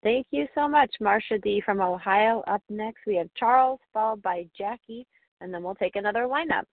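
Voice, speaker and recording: A woman moderating a recovery meeting, calling the next speakers in a brisk, friendly way. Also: mid-range voice, no room sound. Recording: tinny phone line.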